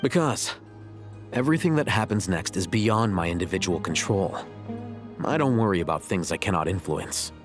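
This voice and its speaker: A deep-voiced, unflappable young intellectual. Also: male voice, expressive, over music. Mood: composed. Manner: measured, matter-of-fact, dry. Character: calm, rational, unflappable young male intellectual. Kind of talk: video game voice acting.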